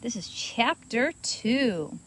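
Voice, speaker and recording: A woman reading a children's storybook aloud as host, in an expressive, animated manner for young listeners. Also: fairly high pitch, slow pace, a little hiss or hum, rough recording.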